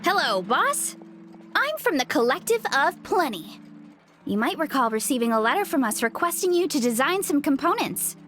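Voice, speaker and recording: A woman's voice: high-pitched, sing-song, normal speed, a bit bright. A woman voicing a cheerful, easygoing young fruit seller in an anime-style video game, friendly and earnest. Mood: earnest, explaining. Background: game music.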